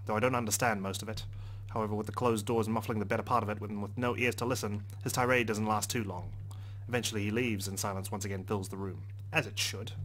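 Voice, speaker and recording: A man reading visual novel narration aloud as a let's player. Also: on the low side. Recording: clear background noise and hum.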